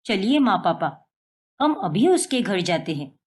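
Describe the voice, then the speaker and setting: A woman's voice: mid-range voice, big pitch swings, a little slow, a little mellow, voice pushed down. A woman voicing an earnest, determined young bride-to-be in a dramatic cartoon family story. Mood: resolute. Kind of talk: Hindi cartoon family melodrama acting.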